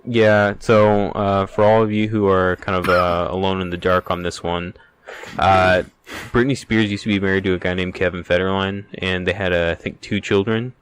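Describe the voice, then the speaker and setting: Man, deep low voice, podcast co-host, casual chat. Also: fairly even intonation, moderate pace, clear, resonant tone.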